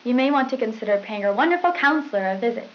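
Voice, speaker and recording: A woman's voice: on the high side, lively intonation, fast talker, lightly acted. A young woman playing a polite, perky school news anchor, formal and scripted, on a hissy old tape. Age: young adult. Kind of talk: scripted 1990s school newscast. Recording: dull hissy VHS broadcast sound.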